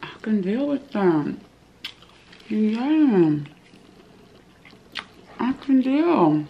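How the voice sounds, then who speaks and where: A woman's voice: a little high, very animated, drawn-out words, gravelly, highs cut off, slight background noise. A woman in her thirties chatting casually to her viewers while eating at home, calling them y'all. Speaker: vlogger. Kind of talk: casual eating vlog chat. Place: home living room, indoors.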